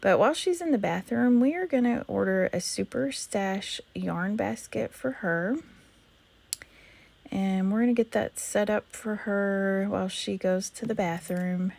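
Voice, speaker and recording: A woman with a hoarse voice calmly narrating a life-simulation game as host of a let's play. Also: mid-range voice, unhurried. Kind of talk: gameplay narration.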